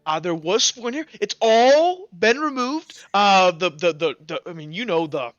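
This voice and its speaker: A man voicing a flustered older gentleman realtor in a tabletop game, stammering through a reassuring sales pitch. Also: very animated, normal speed, dry recording, muffled recording. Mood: nervous reassurance. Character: sweaty, red-faced older gentleman realtor, defensive salesman.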